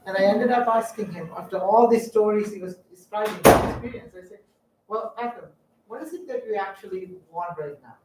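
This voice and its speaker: A middle-aged man from Sri Lanka telling personal stories in a public talk, halting and reflective with frequent fillers. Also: high-pitched, noisy background. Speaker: guest speaker. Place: lecture room. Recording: echoey room, distant mic.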